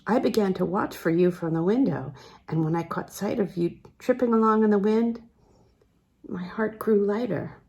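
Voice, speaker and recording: A woman voicing a tender, melancholy older man who speaks gently, hesitantly and earnestly in a literary audiobook. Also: mid-range voice, moderate pace, gravelly, lightly acted. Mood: tender, wistful confession.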